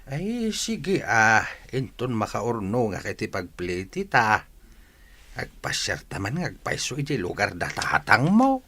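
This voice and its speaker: A man's voice: lowish voice, huge pitch swings, a little slow, sharp tone, full character voice. A man playing a kindly, indulgent old grandfather from a village in an Ilocano radio drama. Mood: gentle, indulgent.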